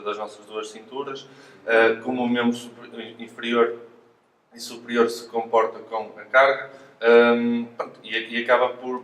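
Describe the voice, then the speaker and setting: A man's voice: medium pitch, fairly bright tone. A man with a European Portuguese accent giving careful, hesitant explanations as a physiotherapist in an interview. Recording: echoey room, distant mic, faint noise.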